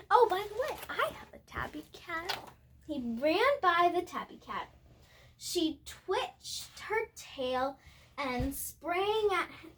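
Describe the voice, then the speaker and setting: A young girl with a high, bright voice reads a storybook to viewers. She goes slowly and haltingly, going back to fix words, and chats casually in between. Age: child. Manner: halting reading, repeats and corrects words. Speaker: young video host reading aloud. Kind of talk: storybook read-aloud with casual asides to viewers.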